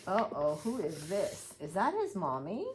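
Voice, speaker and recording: Lower-pitched woman reading to young children. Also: huge pitch swings, measured pace, a little hiss or hum. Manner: instructive, asking simple questions to the listeners. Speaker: preschool teacher. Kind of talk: read-aloud story with commentary for young children.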